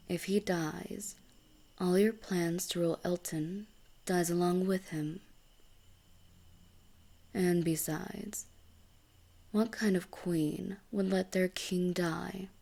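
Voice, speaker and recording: A woman's voice: on the low side, vocal fry, dark tone, heavily acted. A woman playing a sly, cocky assassin for hire in a medieval fantasy audio roleplay, with a taunting, self-serving manner. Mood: smug. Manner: taunting, drawling, smug until pleading.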